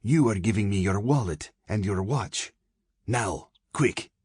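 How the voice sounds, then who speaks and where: A man's voice: low-pitched, sing-song, normal speed, breathy edge, no echo, clean studio sound, pitched above the performer's own voice, full character voice. A man voicing a young girl thief in an audiobook, urgent and swinging from tough to pleading. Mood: commanding, nervous. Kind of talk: audiobook character voice.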